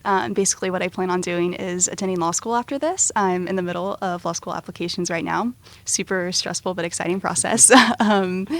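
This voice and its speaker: A woman's voice: mid-range voice, gravelly. A woman in her early twenties from Oklahoma, a student answering interview questions in a poised, polite way with some "um"s. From Oklahoma, USA. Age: early 20s. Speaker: student guest.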